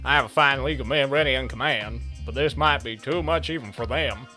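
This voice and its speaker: Casting: man as grave police chief, forceful and declamatory, worried, for a comic dub. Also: medium pitch, a little fast, faint music behind, lightly acted. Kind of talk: comic dub character acting.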